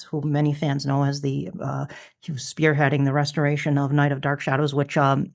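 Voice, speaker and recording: A woman hosting a podcast interview in a casual, enthusiastic style, with halting, rambling phrasing. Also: sits low. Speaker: podcast host.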